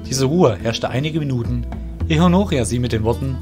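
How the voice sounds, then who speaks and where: A man's voice: mid-range voice, brisk. A man reading scripted fantasy narration as the narrator of an audio drama. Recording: music behind the voice.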